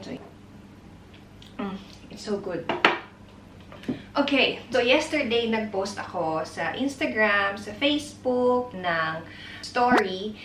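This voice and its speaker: A woman's voice: medium pitch, noisy background. Young Filipino woman vlogger, casual chatty delivery, recorded off-mic in an echoey room. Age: 20s. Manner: chatty, mixing Tagalog and English, giggly asides. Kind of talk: casual Q&A vlog. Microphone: camera mic. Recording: roomy sound, mic at a distance.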